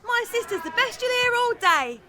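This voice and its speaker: An actress playing a brash, streetwise, envious Parisian woman in an audio drama, with coarse, slangy, excitable speech. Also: extremely high pitch, normal speed, very noisy. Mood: hustling.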